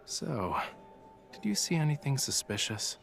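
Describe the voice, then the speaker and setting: A man's voice: mid-range voice, big pitch swings, a little slow, vocal fry, soft background music. A man voicing a languid, playful young artist in an English-dubbed romance game, with a nonchalant, coy way of talking. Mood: playful, teasing. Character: languid, teasing young male artist with a secretive streak.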